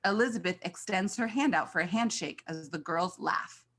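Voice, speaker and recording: A woman's voice: lowish voice, moderate pace, a bit bright. A woman reading scripted stage directions as the narrator of a screenplay.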